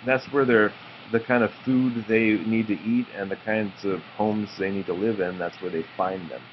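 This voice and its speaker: A man reading a children's picture book aloud to his child in a slow, drawn-out storytelling way, with informal asides. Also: lowish voice, fairly even intonation. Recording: muffled home recording with background noise.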